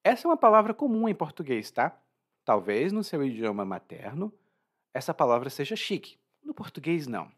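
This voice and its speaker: A Brazilian man explaining words to language learners, with a slow, clear teaching delivery and frequent check-in questions. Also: a little high.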